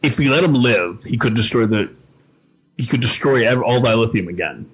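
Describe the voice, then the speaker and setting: A man with a husky, rough voice, co-hosting a casual fan discussion podcast. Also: mid-range voice, expressive, moderate pace. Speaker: podcast co-host. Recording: slightly dull, lo-fi audio.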